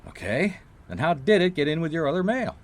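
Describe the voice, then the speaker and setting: An actor playing a laconic, skeptical private detective in a radio drama, with a dry, understated, hesitant way of talking. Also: male voice, fairly high pitch, big pitch swings, brisk. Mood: businesslike, persistent. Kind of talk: radio drama dialogue and first-person narration.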